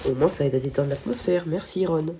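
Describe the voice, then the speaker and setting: A woman's voice: deep voice, fairly quick, gravelly, background noise. A teenage girl with a stuffy head cold, chatting casually through a film video and tripping over her words. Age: teens.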